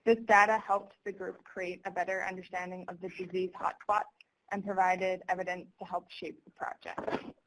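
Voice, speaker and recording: A woman's voice: fairly low pitch, little pitch movement, normal speed, a bit bright, low-quality recording. A young adult woman, a student, giving a prepared presentation on a conference call in a formal, explanatory way.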